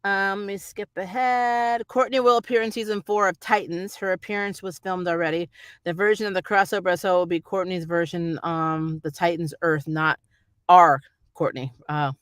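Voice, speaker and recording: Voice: medium pitch, steady tone, normal speed, fairly bright tone, clean tone, dry recording. A vlogger chatting off the cuff in a rambling, opinionated way, like a fan giving a review. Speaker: YouTube reviewer reading and answering viewer comments.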